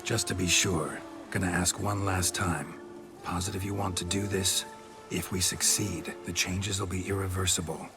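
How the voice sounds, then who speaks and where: A man playing a stoic, weary monster hunter in a video game, gravelly and terse, with a deadpan, clipped delivery. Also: low voice, moderate pace. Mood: grave, matter-of-fact. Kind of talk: video game cutscene acting. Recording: background music under the voice.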